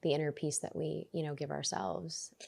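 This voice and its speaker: Low-voiced woman sharing her story. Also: fairly even intonation, moderate pace, vocal fry, darkish tone. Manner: reflective, earnest, many restarts and fillers. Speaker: podcast guest, resilience coach. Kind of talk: conversational interview.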